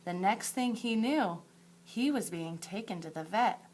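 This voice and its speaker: A woman schoolteacher reads a children's story aloud at a slow, deliberate pace. Her voice sits at a medium pitch, and she leans on words for emphasis as she addresses her young pupils. A light background noise and hum runs under the recording. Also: expressive, darkish tone. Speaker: elementary teacher reading aloud. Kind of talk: expressive picture-book read-aloud for second graders.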